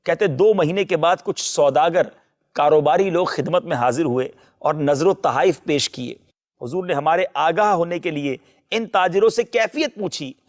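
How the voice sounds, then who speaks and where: A man's voice: a little high, moderate pace. A man reading a religious tale aloud in formal, literary language.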